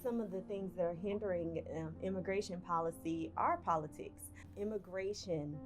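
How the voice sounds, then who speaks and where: Woman, slightly low voice; calm, explanatory policy interviewee. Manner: measured, explanatory. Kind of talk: documentary interview. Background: guitar music.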